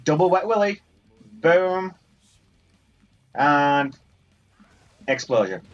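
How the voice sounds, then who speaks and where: A man's voice: fairly high pitch, lively intonation, drawn-out words. A man giving casual, rambling let's play commentary on a video game. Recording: muffled sound, game music behind. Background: video game music.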